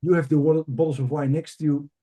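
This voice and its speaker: A man's voice: fairly high pitch, fairly even intonation, fast, dark tone. A middle-aged man from Amsterdam with a Dutch accent, a native Dutch speaker, telling his story conversationally as a guest. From Amsterdam, Netherlands. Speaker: podcast guest, leadership coach.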